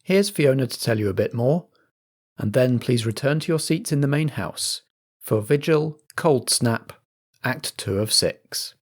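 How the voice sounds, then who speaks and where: A man's voice: mid-range voice, lively intonation, unhurried. Man as chatty podcast compere. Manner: chatty, theatre-compere patter. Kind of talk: friendly programme announcements read to listeners.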